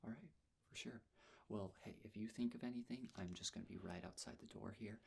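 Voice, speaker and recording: A man speaking softly and breathily, often in a whisper, gently reassuring the listener as a nurse in an ASMR medical roleplay. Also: fairly low pitch, vocal fry, breathy edge. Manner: gentle, reassuring, unhurried personal attention. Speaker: ASMR performer playing a nurse. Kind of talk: soft-spoken ASMR medical check-up roleplay.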